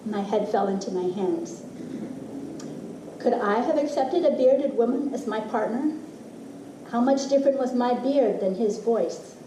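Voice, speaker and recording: A middle-aged woman reads a literary personal essay in a soft-edged, mellow voice that sits a little high. Her delivery is expressive and deliberate, with dramatic pauses. The sound is clearly roomy, picked up from some distance. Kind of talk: literary reading of a personal essay to a live audience. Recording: roomy, distant mic with faint noise.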